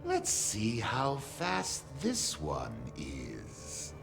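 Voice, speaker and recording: A man's voice: mid-range voice, wildly swooping pitch, drawn-out words, creaky, full character voice. An actor playing a menacing male game villain who gleefully hunts prey, taunting and theatrical, in an echoey recording under music. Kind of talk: video game voice acting.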